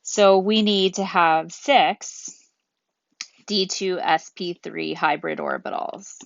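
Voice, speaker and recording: A woman lecturer explaining material methodically and deliberately, step by step, as she thinks aloud. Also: fairly low pitch, vocal fry, a bit bright, no echo, dull audio. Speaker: chemistry lecturer.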